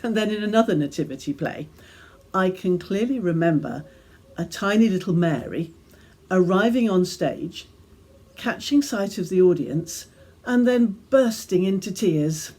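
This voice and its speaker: A woman reading a devotional reflection as gentle, measured storytelling with deliberate pauses. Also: fairly low pitch, lively intonation, rough recording. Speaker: reader of her own devotional reflection. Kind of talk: scripted devotional reflection, storytelling read aloud.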